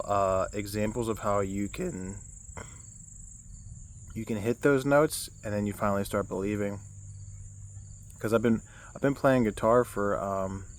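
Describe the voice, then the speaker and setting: Low-voiced young man rambling outdoors. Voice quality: low baritone voice. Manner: rambling, with many restarts and fillers. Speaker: vlogger. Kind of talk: casual self-help vlog.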